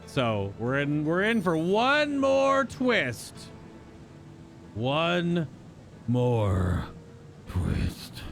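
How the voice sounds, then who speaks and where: A man's voice: a little high, wildly swooping pitch, drawn-out words, long pauses. A man giving wry, rambling live reaction commentary as he plays a game. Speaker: game streamer. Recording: music behind the voice. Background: game music.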